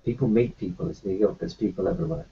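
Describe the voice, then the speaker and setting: A man's voice: on the low side, lively intonation, quick pace, creaky. A man playing his character in a tabletop roleplaying session, talking in a rambling, hesitant way with lots of restarts. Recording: muffled lo-fi with faint hiss.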